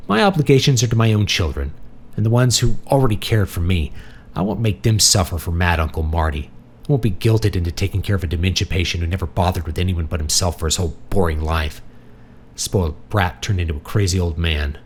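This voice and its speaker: A man's voice: deep voice, a little fast, slight background noise, natural voice. A man voicing a resentful, emphatic grown son in an audiobook drama. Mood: indignant. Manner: emphatic, indignant. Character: angry, resentful grown son refusing family duty.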